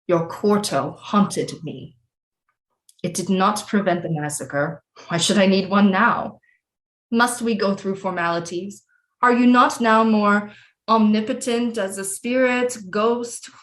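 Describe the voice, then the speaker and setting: A woman's voice: mid-range voice, a little slow, close to an everyday voice. Imperious queen played by a woman, roomy echo. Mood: haughty, bitter. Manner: haughty, formal, archaic diction. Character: imperious, scheming, lovelorn French Renaissance queen. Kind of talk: theatrical audio drama acting. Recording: roomy echo with music behind.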